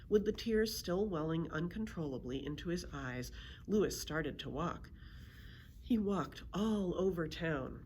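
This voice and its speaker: Expressive woman reading aloud, with background noise. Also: deep voice. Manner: expressive storytelling. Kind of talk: children's storybook read-aloud.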